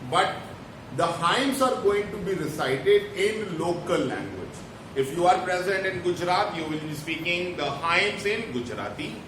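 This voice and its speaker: A man's voice: high-pitched, expressive, measured pace. A man with an Indian English accent lecturing a class, explaining terms step by step and repeating points for emphasis. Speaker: teacher. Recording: echoey room, mic at a distance, noisy.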